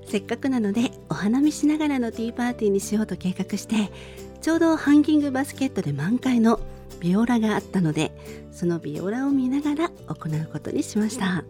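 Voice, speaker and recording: A middle-aged woman hosting a radio show, speaking politely in a gentle solo talk with personal stories. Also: on the high side, lively intonation, quick pace. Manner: polite, formal phrasing with personal anecdotes. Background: faint music.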